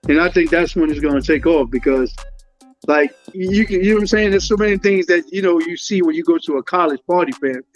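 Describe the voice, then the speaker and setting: A laid-back man chats in a loose, slangy way, leaning on fillers as he talks about his music. His voice sits a bit high for a man. The recording is dull and lo-fi, with faint music behind. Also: a little fast. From Liberia. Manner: laid-back, slangy, many fillers and "you know what I'm saying". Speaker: guest musician. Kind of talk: casual interview talk.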